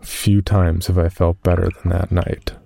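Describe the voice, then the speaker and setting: A man playing an uneasy cave explorer, recounting his expedition in measured first-person horror narration. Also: sits low, little pitch movement, gravelly, warm tone, a little hiss or hum, heavily acted. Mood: reflective, uneasy. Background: music.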